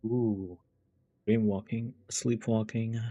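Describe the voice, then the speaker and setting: A man's voice: lowish voice, flat delivery, slow pace, muffled recording, noisy background. A man livestreaming a game with casual, dry, sardonic commentary.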